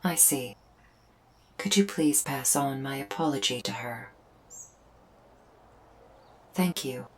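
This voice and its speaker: A woman's voice: a bass voice, expressive, slow pace, long pauses, a little hiss or hum, exaggerated voice. A woman playing a remorseful alien invader in an ASMR roleplay, speaking in formal, precise, stilted phrases. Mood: earnest, apologetic. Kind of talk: scripted ASMR roleplay to the listener.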